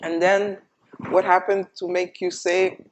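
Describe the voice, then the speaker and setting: A woman's voice: on the low side, level tone, a little slow, slight background noise. A woman from Quebec asking curious follow-up questions in a casual conversation. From Quebec, Canada.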